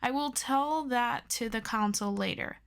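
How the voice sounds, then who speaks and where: A woman playing an earnest, excitable superhero best friend in an ASMR audio roleplay, chatty and expressive as she tutors a listener. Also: on the high side, a little slow, gravelly, smooth. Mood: businesslike, friendly. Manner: chatty and expressive, with interjections and sighs. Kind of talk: ASMR audio roleplay, scripted one-sided conversation.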